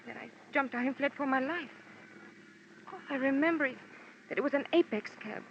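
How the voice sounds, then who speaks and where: Woman doing a worried sweet talking smuggler lady, high pleading voice, old radio drama, faint noise. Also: fairly quick, highs cut off. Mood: anxious, pleading. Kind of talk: old-time radio detective drama acting.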